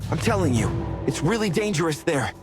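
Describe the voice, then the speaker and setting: A man's voice: a little high, sing-song, fairly quick. An actor playing an anxious, insistent male guard in a video game, urgent and pleading. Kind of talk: video game cutscene dialogue. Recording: music clearly behind the voice.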